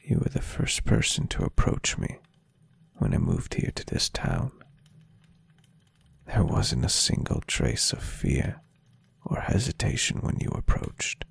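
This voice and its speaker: Man acting as adoring centuries-old vampire boyfriend, gravelly fry, slow pace, soft ASMR roleplay, tender and teasing. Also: extremely low pitch, huge pitch swings, heavily acted. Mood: fond, tender. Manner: tender, breathy, unhurried, softly teasing.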